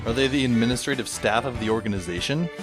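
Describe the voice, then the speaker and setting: A man's voice: mid-range voice, fast talker, over music. A man narrating a story in the first person with a dry, sardonic manner.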